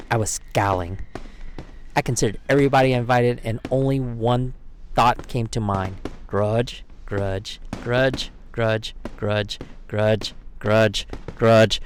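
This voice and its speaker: A man reading a children's picture book aloud as storyteller, expressive and given to dramatic pauses. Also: mid-range voice, a little hiss or hum.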